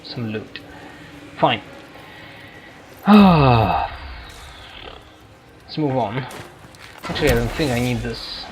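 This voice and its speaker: A man giving casual game commentary, thinking aloud in a halting, hesitant way. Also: medium pitch, animated, background noise. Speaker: gameplay streamer. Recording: game music behind. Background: video game music.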